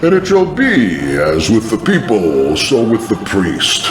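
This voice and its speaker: A man's voice: lowish voice, huge pitch swings, background noise, pitched below the performer's own voice. Man voicing echoing, wrathful God pronouncing doom. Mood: solemn, wrathful. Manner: grave, commanding, measured. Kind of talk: dramatic scripture declamation. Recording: distant echoing voice under music. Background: music.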